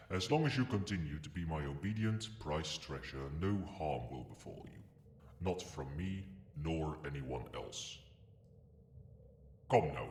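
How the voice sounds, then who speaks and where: A man voicing a proud, possessive dragon with formal, haughty, measured speech in an ASMR roleplay. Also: very deep voice, slight background noise, exaggerated voice. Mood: stern, reassuring. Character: proud, imperious male dragon, possessive but grudgingly protective.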